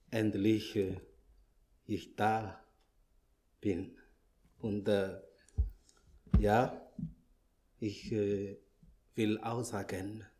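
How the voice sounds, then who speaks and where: Man w french accent preaching in german, really slow, lots of ums n restarts, malagasy native. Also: lowish voice, a little mellow. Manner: halting, many fillers and restarts, searches for words. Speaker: visiting preacher. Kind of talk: church greeting and sermon opening. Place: church hall.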